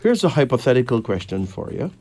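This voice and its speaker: A man from Barbados with a Caribbean accent, hosting a late-night radio show in a measured, deliberate way with pauses between phrases. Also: mid-range voice, sing-song, darkish tone. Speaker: radio host giving readings to callers. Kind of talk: late-night radio talk, slow monologue and caller chat. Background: faint music.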